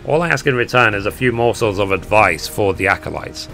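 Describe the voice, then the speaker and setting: A man voices a confident male officer from a fantasy game, brisk and commanding. The delivery is low-pitched and a little hoarse, with faint game music behind it. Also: expressive, bright, forceful tone, exaggerated voice.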